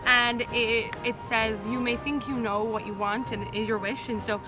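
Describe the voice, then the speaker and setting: Higher-pitched woman chatting over music. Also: fairly even intonation, moderate pace. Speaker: community theatre cast member. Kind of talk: casual interview talk. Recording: music behind the voice.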